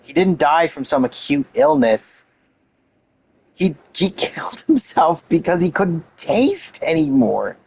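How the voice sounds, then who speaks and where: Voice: medium pitch, very animated, takes it slowly, a little mellow, slight background noise, poor audio. A game streamer giving casual commentary during a playthrough.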